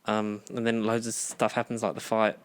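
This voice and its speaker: A man's voice: lowish voice, monotone, moderate pace, clear, resonant tone. Rambling man on a podcast. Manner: rambling, lots of "like" and "um". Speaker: podcast co-host. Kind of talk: casual chat.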